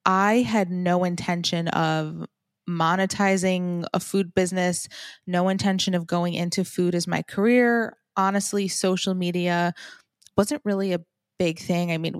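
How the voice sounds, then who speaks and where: A woman's voice: fairly low pitch, no room sound, studio quality. A young woman from Michigan chatting as a podcast guest, telling stories with lots of "like"s and enthusiasm. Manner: chatty, enthusiastic storytelling with many "like"s. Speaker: podcast guest, cookbook author. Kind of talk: casual interview chat.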